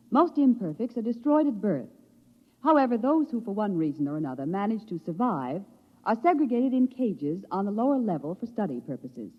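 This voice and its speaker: A woman's voice: mid-range voice, slightly rough, no echo. An actress playing a cold, controlled woman professor in 1950s radio drama, with clipped, clinical and formal diction. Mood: formal lecturing. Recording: muffled old radio recording.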